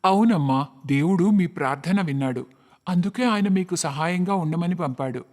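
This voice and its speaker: A solemn, magical child messenger of God from a cartoon tale, acted by a man. The voice is high for a male and rings in long, hall-like reverb, with dramatic storybook delivery. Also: moderate pace, darkish tone, close to an everyday voice. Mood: reassuring.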